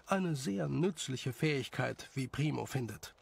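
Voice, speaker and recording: A man's voice: mid-range voice, expressive, measured pace, vocal fry, slightly rough. A man reading scripted story narration as the narrator of an audio tale.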